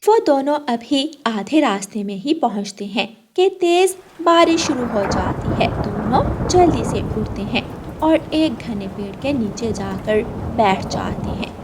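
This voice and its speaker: Female story narrator, fairly high voice, unhurried, scripted cartoon tale, clear storm noise behind. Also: animated, darkish tone.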